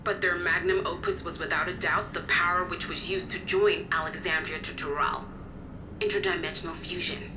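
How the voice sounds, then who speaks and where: An actress voicing a composed, articulate resistance officer in a video game, explaining things formally and with measured care. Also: female voice, on the low side, steady tone, background noise, natural voice. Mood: composed, informative. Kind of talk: video game cutscene acting.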